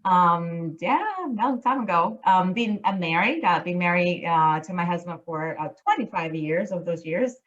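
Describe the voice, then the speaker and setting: A woman's voice: lowish voice, normal speed, few pauses, muffled recording. A middle-aged woman from Chile, a cruise line business development manager, talking enthusiastically with many restarts in a casual interview. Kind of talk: casual interview chat over video call. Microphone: video call.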